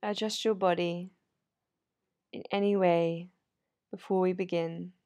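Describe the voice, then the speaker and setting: Low-voiced woman guiding sleep meditation. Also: steady tone, dry recording. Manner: soothing, unhurried, gently instructive. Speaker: yoga nidra meditation guide. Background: soft sound healing instrumental music.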